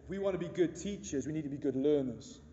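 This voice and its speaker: Booming, mellow-voiced preacher man in echoing hall. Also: expressive, quick pace, a little hiss or hum. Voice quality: big booming voice. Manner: earnest, emphatic preaching with rhetorical questions. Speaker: pastor. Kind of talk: sermon. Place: church hall. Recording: dull echoey hall recording.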